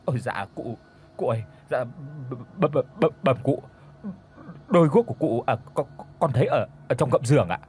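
A man's voice: mid-range voice, lively intonation, normal speed, a little mellow, soft background music, close to an everyday voice. A man voicing a terrified young servant boy who stutters and repeats words timidly. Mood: frightened.

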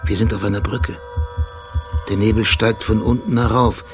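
A man playing a brooding, obsessive police detective, narrating precisely and introspectively in short measured sentences in a radio drama. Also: lowish voice, flat delivery, slight background noise, natural voice. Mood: tense, wondering. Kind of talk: literary radio drama monologue.

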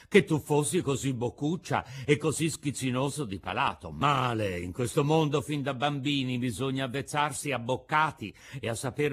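A man voicing a kind, patient old woodcarver in a storybook reading, speaking slowly and gently with a lecturing tone. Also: mid-range voice, lightly acted.

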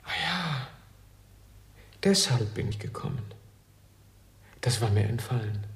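An actor playing a dazed, searching gentleman visitor in a German radio drama, speaking in a wondering, deliberate way. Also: medium pitch, expressive. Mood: bewildered. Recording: roomy echo, music underneath. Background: music.

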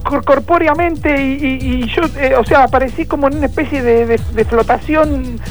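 A man playing a distressed, pleading and halting radio caller trapped without a body inside a phone call, in improvised radio theatre. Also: very high-pitched, soft background music. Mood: anxious, bewildered.

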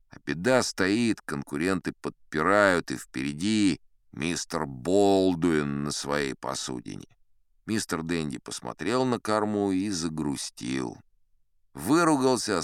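A man's voice: low-pitched, clean tone, dry recording, heavily acted. A boastful old sea captain recounts tall tales for an audiobook. His delivery swings expressively, with a self-satisfied, conversational air. The voice is resonant and recorded very dry and close. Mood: wistful storytelling. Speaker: audiobook narrator in character. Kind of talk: theatrical first-person storybook narration.

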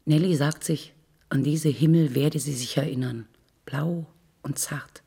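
A woman narrates scripted literary prose with restraint. Her voice is very husky and breathy, with a creaky edge and a soft, dark tone. The delivery is measured and sober. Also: deep voice. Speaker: narrator in a radio literary feature.

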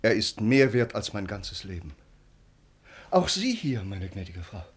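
Husky, earnest count. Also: male voice, lowish voice, sing-song, a little slow, exaggerated voice. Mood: tender, solemn. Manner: formal, declamatory. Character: earnest, brooding count and devoted bridegroom. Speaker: actor. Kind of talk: classical German radio play acting.

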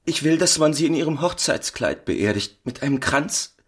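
An actor playing a grief-stricken, stubborn widower in a German radio drama, his voice husky and creaky. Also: on the high side, animated, normal speed. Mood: defiant anguish.

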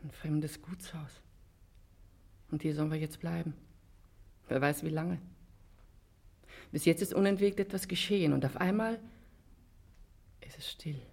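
An actress playing a weary, practical wife in a 1950s German radio play, measured and gently dry. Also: female voice, sits low, creaky, husky, heavily acted. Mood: weary, dreamy. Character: weary, practical wife settling into a strange house. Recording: faint noise, 1950s radio recording.

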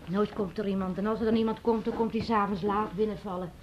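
A woman's voice: mid-range voice, steady tone, fast, lo-fi sound, lightly acted. A woman acting a put-upon innkeeper in a radio drama, curt and complaining in her delivery. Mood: grumbling, brusque. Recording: old radio play recording, hissy, clicks.

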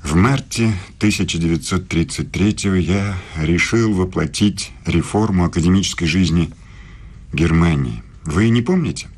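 A defensive, proud old male professor in a radio play. His voice sits low and has a husky rasp. He argues his own case in measured phrases. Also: a little mellow. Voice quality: deep, husky.

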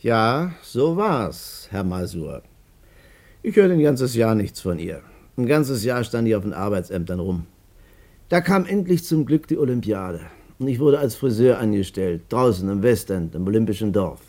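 An actor playing a worn-down, plain-spoken barber in a German radio play, colloquial, emphatic and wry. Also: male voice, fairly low pitch, animated, moderate pace, darkish tone. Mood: wry, animated. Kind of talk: German radio play acting, narration and dialogue.

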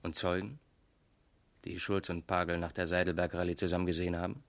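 A man's voice: low voice, little pitch movement, fairly quick, vocal fry. An actor playing a terse, probing male police major in a radio crime drama. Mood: probing, sober. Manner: terse, questioning. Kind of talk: 1970s German radio crime drama acting.

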